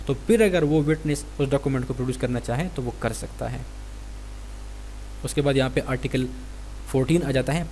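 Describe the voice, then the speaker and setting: Higher-pitched Pakistani man lecturing over hum. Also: a little mellow, rough recording. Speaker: law lecturer. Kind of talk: explanatory lecture, reading statutes aloud then explaining. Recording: clear background noise with hum.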